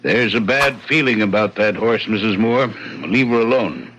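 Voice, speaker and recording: A man's voice: on the low side, animated, moderate pace, a bit bright. A man acting in a radio drama as a terse, ominous ranch hand giving warnings. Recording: dull, noisy old radio recording.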